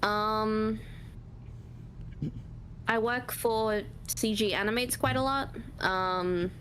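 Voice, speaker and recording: A woman with a naturally high voice, answering interview questions about her voice acting and art in a relaxed, hesitant way, with many pauses and fillers. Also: a little hiss or hum, low-quality recording. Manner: relaxed, hesitant, many ums, laughs at herself. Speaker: voice actor and artist, interview guest. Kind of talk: casual Q&A interview.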